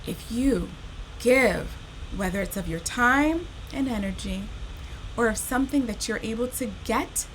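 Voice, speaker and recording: A woman hosting a children's storytime, reading aloud and speaking directly to young listeners in an animated, expressive, encouraging way. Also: on the high side, a little hiss or hum, low-quality recording.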